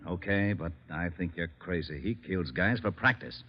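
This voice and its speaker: An actor playing a gruff, plain-spoken man in an old radio crime drama. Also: sits low, moderate pace, natural voice. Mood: gruff, businesslike. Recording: muffled, noisy vintage radio recording.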